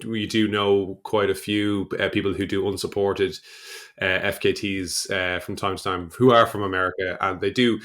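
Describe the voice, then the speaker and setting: A man with an Irish accent hosting a casual podcast interview, speaking in a hesitant, halting way as he works through his thoughts. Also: low-pitched, fairly even intonation, fairly bright tone. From Ireland.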